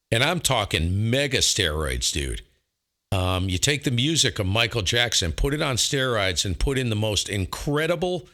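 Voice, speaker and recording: A man's voice: mid-range voice, bright, forceful tone. A man chatting casually over ham radio in an enthusiastic, emphatic way.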